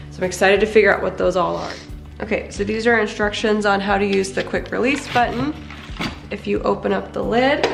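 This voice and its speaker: Casting note: excitable woman vlogger with a head cold, gravelly fry, recorded off-mic in an echoey kitchen. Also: medium pitch, recorded from a distance. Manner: chatty and excitable, narrating as she unpacks. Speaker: home cooking vlogger. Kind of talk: casual unboxing vlog. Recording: echoey room, off-mic, music underneath.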